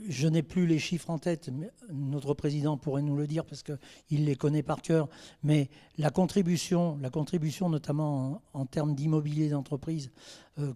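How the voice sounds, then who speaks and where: A man speaking off the cuff as a mayor on a panel, haltingly, often repeating words as he searches for the next. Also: fairly high pitch, dark tone. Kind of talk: spontaneous panel answer.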